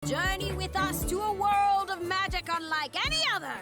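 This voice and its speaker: A woman playing a theatrical teenage girl witch in an animated series, with music behind her. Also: very high voice, normal speed. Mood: grand, theatrical. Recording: cartoon clip with music behind.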